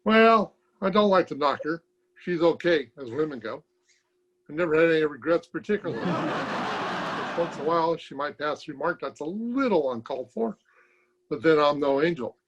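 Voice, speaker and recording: A man's voice: fairly high pitch, lively intonation, moderate pace, muffled recording, noisy background, lightly acted. A man playing a folksy, wisecracking, stubborn barber in an old-time radio comedy, recorded at home over a video call. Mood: droll. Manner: folksy, colloquial, chuckling drollery.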